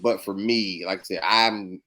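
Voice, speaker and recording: Halting, low-pitched American man. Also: steady tone, bright, forceful tone. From United States. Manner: halting, repeats words while making his point. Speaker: podcast co-host. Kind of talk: casual podcast discussion.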